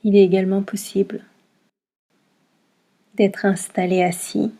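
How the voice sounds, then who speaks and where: A woman teaching yoga nidra, guiding a meditation in a calm, soothing manner with long pauses between short instructions. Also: medium pitch. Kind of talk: guided meditation, soft instruction.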